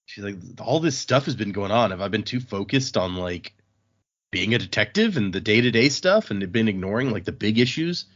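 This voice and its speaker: A man's voice: fairly low pitch, expressive, moderate pace, fairly bright tone, dull audio. A man hosting a podcast, chatting casually through a discussion of a book. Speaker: podcast host.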